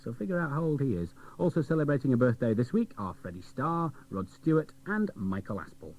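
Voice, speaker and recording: A man's voice: medium pitch, very animated, a little fast, darkish tone. A man presenting a children's radio show, chatting directly to the listeners. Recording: dull old cassette radio recording.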